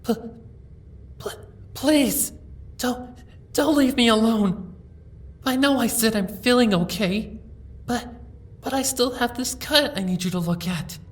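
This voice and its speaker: A woman voicing a timid, sick young female pony who speaks softly and stammers as she pleads. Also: fairly high pitch, slow, pauses often, far from the mic. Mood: desperate pleading. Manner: soft, hesitant, stammering, pleading. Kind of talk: fan audiobook reading.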